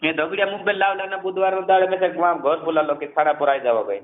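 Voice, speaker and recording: A man's voice: high voice, fast. A man in a casual phone conversation, explaining things at length and repeating himself, on a narrowband call recording.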